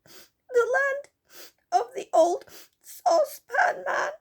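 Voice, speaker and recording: Squeaky, sobbing little fairy girl. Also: lively intonation, slow pace, exaggerated voice. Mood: tearful, worried. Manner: sobbing, wailing delivery. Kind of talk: children's storybook character voice.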